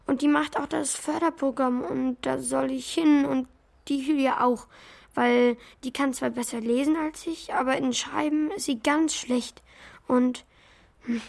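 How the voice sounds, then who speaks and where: An actor in a children's radio play voicing an excitable, shy young schoolboy with a candid, hesitant manner. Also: high voice, measured pace, gravelly.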